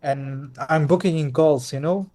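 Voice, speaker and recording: A man's voice: on the high side, a little slow, dark tone. A man from Belgium with a Belgian Dutch accent, a Dutch speaker, asking a question on a video call, hesitant with many uhs and restarts. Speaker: caller on a group call.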